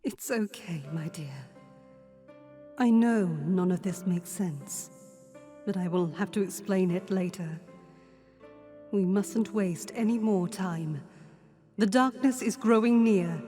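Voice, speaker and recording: Spirit guide played by a woman: low-pitched, unhurried, calm and mysterious, in deep reverb. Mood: gentle urgency. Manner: soothing, measured, mysterious. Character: calm mystical female spirit guide in a dream. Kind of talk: audio drama acting. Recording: long reverb under music.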